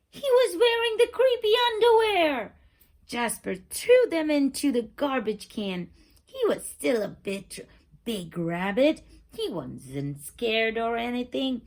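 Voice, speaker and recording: Female children's storyteller, very animated, slow delivery, some vocal fry, builds suspense. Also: on the high side. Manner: expressive, suspenseful, stretches key words. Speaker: storyteller reading a picture book aloud. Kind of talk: animated children's read-aloud with dramatic whispers and questions.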